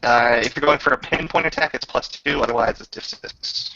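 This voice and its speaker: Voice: mid-range voice, brisk, gravelly, pushed and bright. A game master running a casual tabletop roleplaying session in an informal, swearing way. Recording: muffled lo-fi with faint noise.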